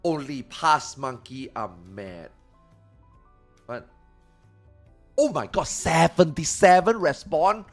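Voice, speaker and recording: A man streaming live who chats casually, swears freely and reacts loudly to his viewers, and he says he is losing his voice. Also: on the high side, lively intonation, slow pace, long pauses, faint music behind.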